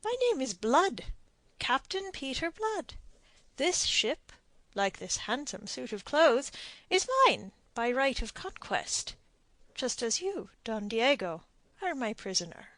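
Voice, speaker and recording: A woman's voice: high-pitched, very animated, takes it slowly, fairly bright tone, dry recording, voice pushed up, full character voice. A woman reading an audiobook and voicing a self-assured, mocking sea captain with a dry wit. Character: sardonic witty Irish sea captain, man. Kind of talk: audiobook character voice.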